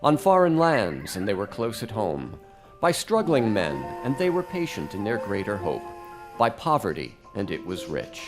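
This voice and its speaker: A man narrating a live radio drama as scripted storytelling, with expressive, rhythmic delivery. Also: on the low side, normal speed. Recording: music behind.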